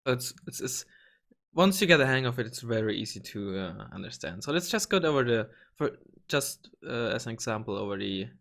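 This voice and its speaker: A young man with a slightly hoarse voice walks through a game tool in a casual tutorial. He rambles, restarts his sentences and swears now and then, talking into a desk microphone at a mid pitch. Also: expressive, a little fast, a bit bright. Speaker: gaming channel host. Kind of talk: casual screen-recorded tutorial.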